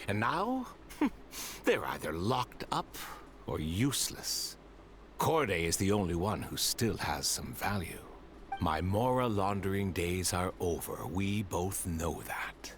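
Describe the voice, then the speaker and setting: A suave crime boss from a video game, speaks slowly with sardonic courtesy. The voice is on the low side and swings expressively, theatrical and bitter beneath the polish. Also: male voice, sing-song, slight background noise, heavily acted. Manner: polite, measured, sardonic, theatrical.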